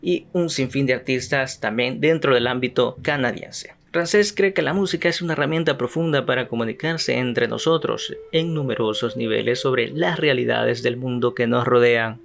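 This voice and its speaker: Hombre de voz áspera presentando. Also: male voice, mid-range voice, moderate pace, vocal fry, hardly any pauses, fairly bright tone. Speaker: podcast host. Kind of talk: reading an introduction, then interviewing.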